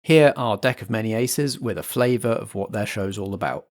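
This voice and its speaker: A man acts as compere for a podcast, delivering scripted announcements. His voice is fairly low, and his style is theatrical. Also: normal speed. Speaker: host, announcer.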